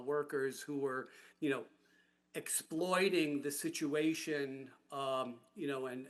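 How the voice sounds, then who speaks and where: A man giving a rambling, halting, earnest comment in a discussion. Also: on the high side, fairly bright tone. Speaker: audience participant, public health system worker.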